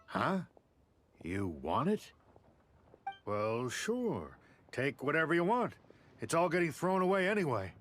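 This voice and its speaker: Game NPC: anxious dock clerk, flustered and eager to please, highish voice swooping around, some background noise. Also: male voice, big pitch swings, unhurried, long pauses, fairly bright tone. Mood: bewildered. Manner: flustered, stammering. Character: nervous, eager-to-please male dockside warehouse clerk. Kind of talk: video game character acting.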